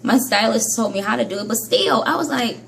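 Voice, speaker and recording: A woman's voice: animated, fairly quick, creaky, slight background noise. Chatty woman vlogger scolding herself. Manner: chatty, scolds herself, jumps between high and low pitch. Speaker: hair care vlogger. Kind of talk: casual vlog monologue to viewers.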